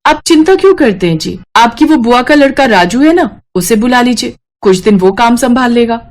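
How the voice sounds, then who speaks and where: A woman voicing a caring, practical village wife with a gentle, reassuring manner in a moral story. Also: fairly high pitch, lively intonation, normal speed, natural voice. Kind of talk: animated moral-story character acting.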